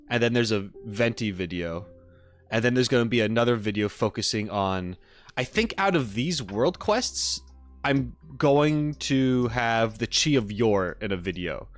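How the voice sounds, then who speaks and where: A man's voice: mid-range voice, fairly bright tone. A man hosting a stream, talking casually to viewers in a rambling, explanatory way and correcting himself as he goes. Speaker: streamer and reaction video host. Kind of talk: casual livestream chat to viewers. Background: music.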